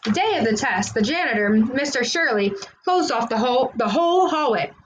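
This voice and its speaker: A woman's voice: on the high side, lively intonation, brisk, a little hiss or hum, poor audio. A woman reading a children's storybook aloud, narrating expressively in the words of a school kid. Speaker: children's read-aloud host.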